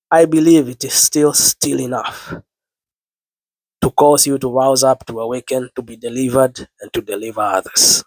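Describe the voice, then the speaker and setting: Sick guy preaching into the mic, earnest, low worn flu voice, dry sound, repeats himself a lot. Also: no room sound, poor audio. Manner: earnest, repeats words, biblical phrasing. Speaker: online preacher. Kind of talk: spoken sermon to camera.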